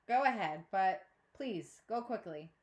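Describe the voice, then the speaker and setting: A woman voicing a brisk, impatient female mayor in a storybook, formal and projecting like an official. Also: mid-range voice, animated, dull audio, close to an everyday voice.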